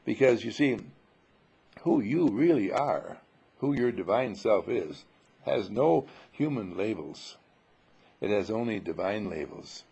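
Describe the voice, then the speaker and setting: A man giving an informal spiritual sermon full of rhetorical questions, emphatic and dramatic, with a husky, gravelly voice. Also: on the low side, expressive, takes it slowly, warm tone, muffled recording. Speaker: spiritual teacher.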